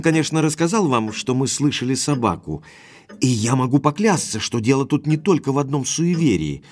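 An actor playing a frank, courteous young baronet in an audio drama. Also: male voice, mid-range voice, close to an everyday voice. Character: Sir Henry, frank young baronet back from America. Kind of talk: Russian audio drama acting.

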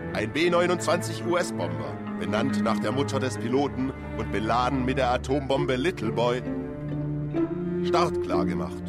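Male narrator over loud music. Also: mid-range voice. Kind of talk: scripted documentary drama narration. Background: loud music and effects.

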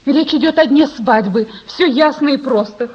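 An actress playing a brisk, practical wife and mother in a radio drama, keeping the peace. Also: sits high, close to an everyday voice. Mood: exasperated. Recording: dull, muffled radio drama recording.

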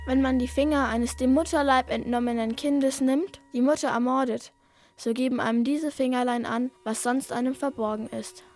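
A woman's voice: high-pitched, fairly even intonation, normal speed, a little mellow. A woman playing an eerie teller of gruesome robber lore in a scripted radio play.

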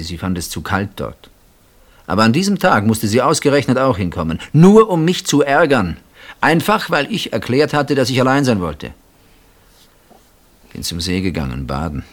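An actor playing a bitter, sardonic older husband who has been betrayed, speaking with brooding pauses in a German radio play. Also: male voice, lowish voice.

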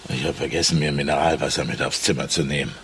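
An actor playing a hardened, embittered middle-aged judge in a radio drama, curt, defensive and self-righteous. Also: male voice, extremely low pitch, fast, background noise. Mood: evasive. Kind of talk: naturalistic German radio drama dialogue.

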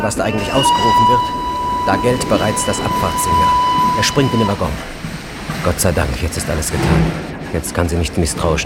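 Low-voiced, suspenseful drama narrator. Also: male voice, heavy background noise. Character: intense male storyteller of a literary drama. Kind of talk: scripted narration in a radio drama.

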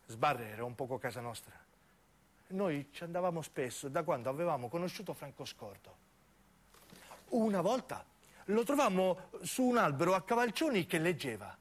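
High-voiced southern Italian man telling a dramatic story. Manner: dramatic, acts out dialogue. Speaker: solo narrator actor. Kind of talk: theatrical first-person storytelling for radio.

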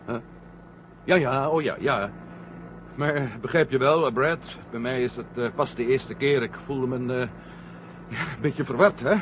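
A man's voice: mid-range voice, a little slow, long pauses, close to an everyday voice. A man playing a firm, cautious astronaut commander in a vintage science fiction radio play. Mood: confused, uneasy. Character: male moon-mission astronaut commander, firm, cautious, sometimes confused. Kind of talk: 1970 Dutch science fiction radio drama acting. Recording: muffled, noisy old radio play recording.

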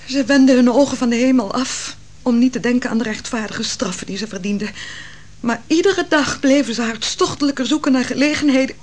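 A woman's voice: a little high, a little fast, natural voice. A woman acting an anguished, virtuous wife in a Dutch radio play, telling her story in a solemn, dramatic manner. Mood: distressed, recounting. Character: Susanna, anguished virtuous wife of a royal counsellor.

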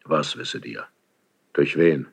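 An actor playing a proud, embittered ageing king in a classical German verse radio drama, slow and halting with a gravelly, husky voice. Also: extremely low pitch, exaggerated voice. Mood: troubled questioning. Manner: slow, halting, brooding, theatrical declamation. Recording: slightly dull archival radio drama recording.

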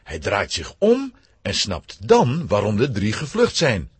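A man narrating a children's adventure story in a scripted, dramatic, expressive style with a husky voice. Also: on the low side, a little slow, dry recording.

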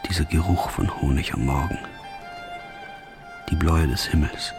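An actor playing a haunted, guilt-ridden killer in a radio drama monologue, brooding and measured, dropping into breathy near-whispers. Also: male voice, very deep voice, fairly even intonation, over music. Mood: haunted, desolate.

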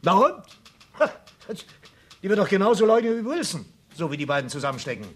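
An actor playing an exasperated, sarcastic police inspector with a blustering, scolding manner in a German radio crime drama. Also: male voice, high voice, sing-song, fairly quick. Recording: noisy 1970s radio drama recording.

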